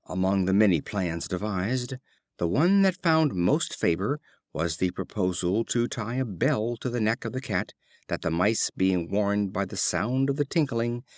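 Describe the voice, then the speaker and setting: A man reading storybook fables as scripted narration, in an expressive, deliberate storytelling manner. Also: fairly low pitch, dry recording, clean studio sound. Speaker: audiobook reader.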